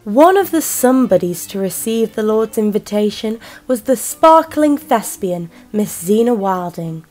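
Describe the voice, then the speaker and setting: Woman playing a wry detective telling an old case, measured radio play narration, bit of music behind. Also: medium pitch, lively intonation, darkish tone, natural voice. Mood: wry, reflective. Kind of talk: scripted radio drama narration.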